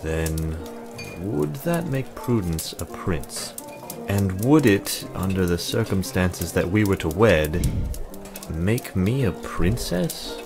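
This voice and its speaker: Casting: man as solemn, formal fantasy warrior, very low voice, earnest deadpan, music underneath. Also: takes it slowly, vocal fry, heavily acted. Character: solemn, literal-minded adult male guard turned reluctant lord. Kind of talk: visual novel character acting.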